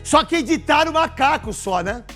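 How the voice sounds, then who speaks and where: A man's voice: very high voice, lively intonation, moderate pace, fairly bright tone, soft background music. A man, a pundit in a clip from a talk show, animatedly retelling a dressing-room exchange and acting out both sides.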